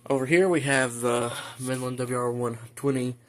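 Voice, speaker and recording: A man talking casually and haltingly through an unscripted show-and-tell as a hobbyist. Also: mid-range voice, fairly even intonation. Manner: halting, hesitant, informal. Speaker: hobbyist video host showing a weather radio collection. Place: indoor room at home. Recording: noisy home video with clicks.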